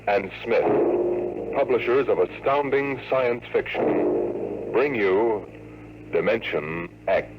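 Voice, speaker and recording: A man's voice: fairly low pitch, animated, takes it slowly, long pauses. An American man as a 1950s radio announcer, grand and theatrical in a dramatic programme intro. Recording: muffled, very noisy vintage radio recording.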